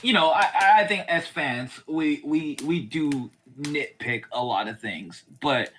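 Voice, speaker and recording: A man's voice: on the high side, a little slow, fairly bright tone, slight background noise, poor audio. A man chatting casually and giving opinions, rambling colloquially and repeating himself for emphasis. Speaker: podcast host. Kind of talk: casual opinionated chat about TV.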